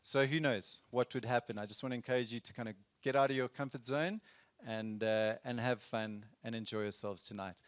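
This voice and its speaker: A man opening an event, welcoming the crowd in a halting, friendly way. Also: medium pitch, fairly even intonation, clear, resonant tone, dry recording. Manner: halting, friendly, many restarts. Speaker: event host. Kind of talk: welcoming announcements.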